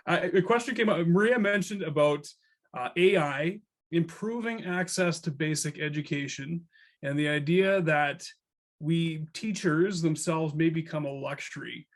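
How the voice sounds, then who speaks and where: A man's voice: fairly high pitch, measured pace. A man, a teacher, joining an online panel in a friendly, modest way. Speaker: teacher, participant.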